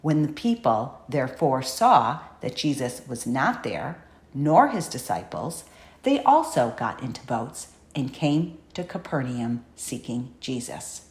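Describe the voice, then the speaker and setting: A woman narrator reading scripture from a script in a measured, solemn way. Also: a bass voice, very animated, echoey room. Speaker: audiobook narrator.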